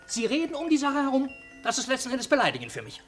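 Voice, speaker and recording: A woman playing a tiny, irascible old shopkeeper in a radio play, with a thin, sharp voice and pompous, indignant speech. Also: high-pitched, huge pitch swings, quick pace, soft background music. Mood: indignant, taunting. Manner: indignant, theatrical, pompously formal address. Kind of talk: German radio play acting.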